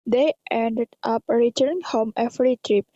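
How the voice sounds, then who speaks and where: A woman's voice: fairly high pitch, moderate pace, mellow. A woman with an Indonesian accent reading scripted story narration in careful non-native English. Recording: dull, echoey lo-fi recording.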